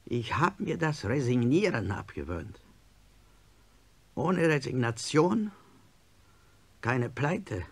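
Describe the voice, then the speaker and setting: An actor playing an ailing, stubborn male industrialist in a radio play, gravelly and husky, speaking with deliberate, sardonic insistence. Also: mid-range voice, big pitch swings, full character voice. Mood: defiant, probing. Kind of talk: German radio play acting.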